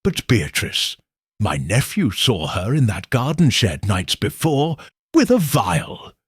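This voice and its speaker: A male reader plays a gossipy village postmistress in a storybook mystery. Her voice is lifted and lively in pitch, with a husky grain and an earnest, confiding manner. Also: takes it slowly, no echo, clean studio sound, full character voice. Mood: confiding, regretful. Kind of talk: audiobook character reading.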